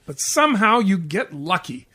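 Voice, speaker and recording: An actor playing an indignant, henpecked, self-pitying husband in a 1940s-style radio comedy. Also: male voice, sits high, sing-song, normal speed, bright, forceful tone, heavily acted. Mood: grumbling, softening. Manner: indignant, complaining.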